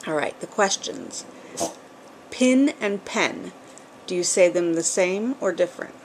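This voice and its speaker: A middle-aged woman with a New England accent touched by Southern words chats into a home camcorder, her voice sitting a little low. She rambles easily, filling pauses with ums. A faint hiss runs under the recording. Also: expressive, low-quality recording. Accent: New England, with some Southern. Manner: chatty, rambling, with frequent ums and small laughs. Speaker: vlogger. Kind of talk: casual chat. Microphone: camcorder built-in mic.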